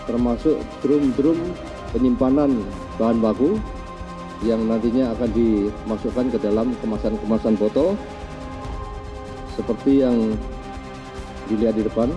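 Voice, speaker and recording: A man giving a formal police press briefing in halting, short phrases. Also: mid-range voice, steady tone. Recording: dull lo-fi sound, music behind.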